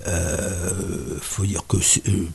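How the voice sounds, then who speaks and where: Low, husky older Parisian man. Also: steady tone, drawn-out words, darkish tone. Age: 60s. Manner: hesitant, self-deprecating, many fillers. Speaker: guest, carpenter and author. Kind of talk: radio interview.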